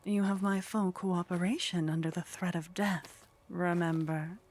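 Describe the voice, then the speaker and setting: A woman playing a teasing, condescending female vampire in a soft ASMR roleplay, languid and haughty, often slipping into whispers. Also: fairly low pitch, steady tone, measured pace. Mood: coolly explaining. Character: teasing, condescending female vampire, sly, centuries-worldly contact.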